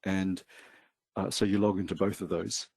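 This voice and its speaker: A New Zealand man with a low, husky voice talks loosely through a trading webinar, pausing often with fillers. His voice is close and dry, with no room around it. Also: no room sound. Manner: relaxed, hesitant, many fillers. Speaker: webinar co-host. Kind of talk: casual webinar talk.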